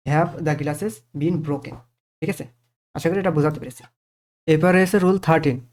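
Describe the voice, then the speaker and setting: A man's voice: a little high, darkish tone. A man with a Bengali accent teaching grammar step by step, asking questions and answering them himself, with frequent check phrases. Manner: didactic, asks and answers own questions, repeats check phrases.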